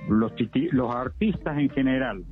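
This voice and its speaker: An older man from Argentina talks in a reflective, measured way, often pausing and restarting his sentences. He is a puppeteer being interviewed on the radio. His voice comes through a thin, tinny phone line with faint music underneath. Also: mid-range voice. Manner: reflective, measured, with many hesitations. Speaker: guest, puppeteer.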